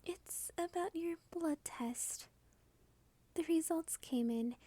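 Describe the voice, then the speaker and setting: A cute female vampire, played by a woman for an ASMR roleplay, speaks in a high, mellow voice at an unhurried pace. She is soft-spoken and courteous, with a coy, teasing edge and a quietly possessive, dominant temper. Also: dry recording. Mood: polite, coy.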